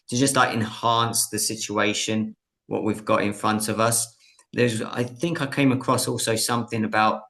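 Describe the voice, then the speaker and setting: Bright-voiced male host, hesitant. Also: on the low side. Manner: hesitant, filler-heavy, corrects himself. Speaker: podcast host. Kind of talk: casual interview chat. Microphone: video call.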